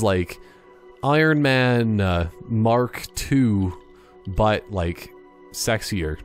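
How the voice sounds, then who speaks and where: A man with a fairly low voice talks through a video game in a casual, joking way. He thinks out loud and drifts into tangents, with music in the background. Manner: rambling, thinking aloud in tangents. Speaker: gaming YouTuber. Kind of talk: casual, jokey gameplay commentary.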